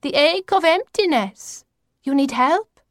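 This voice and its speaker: A woman's voice: high-pitched, expressive, slow, no echo, clean studio sound, voice pushed up. A woman voicing an eccentric, commanding grandmother who makes grand, mystical pronouncements in an audiobook. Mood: portentous. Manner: emphatic, grand, singsong pronouncements.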